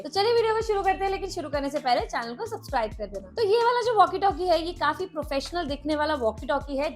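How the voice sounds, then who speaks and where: A woman with an Indian accent presenting a casual product review, explaining step by step and mixing in English words. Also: high voice.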